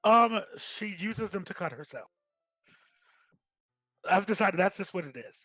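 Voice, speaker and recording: A man's voice: sits high, lively intonation, measured pace, sharp tone, dry recording, faint music behind, poor audio. A man cracking snarky, crude jokes over a staged reading. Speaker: commentator. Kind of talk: casual joking commentary.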